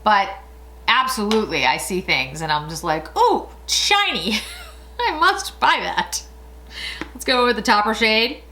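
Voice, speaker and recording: A woman's voice: medium pitch, sing-song, measured pace, sharp tone, slight background noise. A woman in her late forties chatting casually through a makeup tutorial, rambling and laughing often. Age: 40s. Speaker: beauty vlogger.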